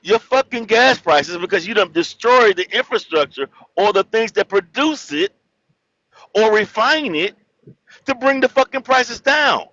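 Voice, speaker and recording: A man calling in to a live chat, talking calmly at first and then growing loud and indignant as he argues. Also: sits high, unhurried, no room sound. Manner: builds from calm to loud indignant rants. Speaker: caller. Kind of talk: heated casual debate. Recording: muffled dull audio.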